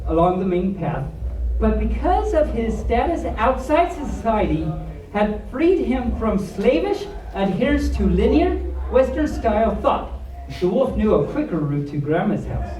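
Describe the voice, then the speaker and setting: A storyteller of unclear sex reading a bedtime story aloud to a small audience in an animated style. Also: medium pitch, a little slow. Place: echoey room at a convention. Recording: echoey room, camera mic far off.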